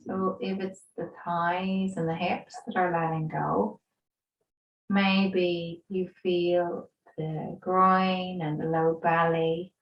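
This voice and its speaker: A woman yoga instructor leading a guided relaxation in a soothing, gentle, unhurried way with pauses. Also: on the low side, flat delivery, lo-fi sound. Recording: roomy echo, distant microphone.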